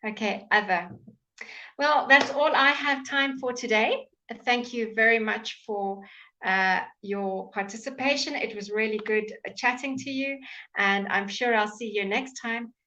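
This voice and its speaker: A woman's voice: a little high, pushed and bright, highs cut off. A woman teaching English pronunciation in an online lesson, exaggerating sounds so they can be heard. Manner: slow, careful, exaggerated articulation.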